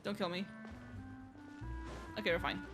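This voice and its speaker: A woman's voice: fairly low pitch, little pitch movement, a little slow. A woman streamer giving offhand, slangy reactions as casual commentary on a game playthrough. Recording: game music behind the voice.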